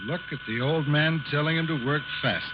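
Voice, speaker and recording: An actor playing a wry, approving official in a 1940s radio crime drama. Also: male voice, on the high side, a little fast, close to an everyday voice. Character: approving, wry male official reviewing evidence. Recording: noisy, muffled old radio recording.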